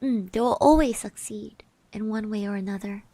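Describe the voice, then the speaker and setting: A woman speaking softly in an ASMR roleplay, gently reassuring the listener, musing with pauses and little hums, close to the microphone. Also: mid-range voice, dry recording. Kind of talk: soft-spoken ASMR roleplay, personal encouragement. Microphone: close microphone, dry.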